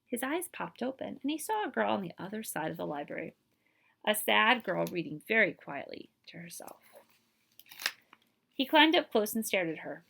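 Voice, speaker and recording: A woman reading a children's picture book aloud as a librarian, with expressive, emphatic delivery and dramatic pauses. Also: mid-range voice, vocal fry, a little hiss or hum.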